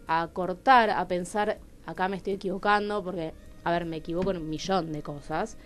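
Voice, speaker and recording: An Argentine woman with a Rioplatense accent, a talkative and expressive storyteller in conversation who acts out remembered dialogue. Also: mid-range voice. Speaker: playwright, radio show guest. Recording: music behind the voice. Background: music.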